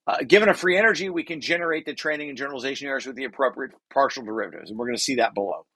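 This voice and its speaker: A man's voice: medium pitch, animated, a bit bright, breathy edge, no room sound. Man explaining technical material, dry close sound. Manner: informal, halting asides with repeated restarts between read passages. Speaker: presenter reading and explaining a research paper draft. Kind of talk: technical walkthrough, reading aloud with off-the-cuff explanations.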